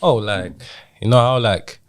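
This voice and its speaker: A young man with a deep voice, recorded close and dry, tells his life story in an interview. He is from Zimbabwe and talks in colloquial British street slang, peppered with little check-in phrases. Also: measured pace, dry recording. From Zimbabwe, moved to England in childhood. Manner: colloquial British street slang, frequent "you get me" tags. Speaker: podcast guest. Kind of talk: casual personal storytelling in an interview.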